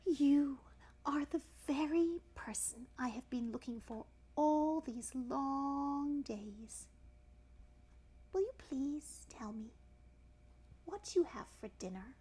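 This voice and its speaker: A woman's voice: on the high side, expressive, normal speed. A woman voicing a very polite, earnest young male elephant child in a storybook reading. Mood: earnest, pleading.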